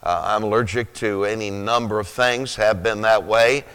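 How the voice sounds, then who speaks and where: A man in his sixties with a rough, sleepy-sounding voice, preaching a sermon slowly, with many pauses, then rising with fervent emphasis. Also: fairly low pitch, steady tone, a bit bright. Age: 60s. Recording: reverberant hall.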